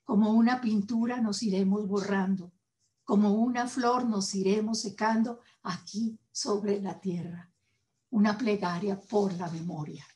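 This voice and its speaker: A woman with a Colombian Spanish accent, hosting and delivering a formal scripted address in a measured, solemn way with deliberate pauses. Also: medium pitch, fairly even intonation, a little mellow. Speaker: host.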